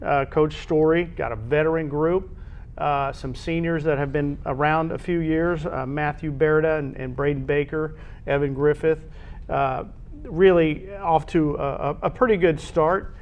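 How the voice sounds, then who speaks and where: Higher-voiced American man, muffled sports chat. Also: fairly even intonation, unhurried. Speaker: co-host. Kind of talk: casual sports discussion.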